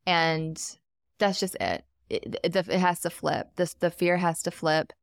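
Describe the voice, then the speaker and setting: Low gravelly woman, emphatic. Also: measured pace, no echo, clean studio sound. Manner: earnest, emphatic, reflective. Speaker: podcast guest, wealth coach. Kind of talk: casual podcast conversation.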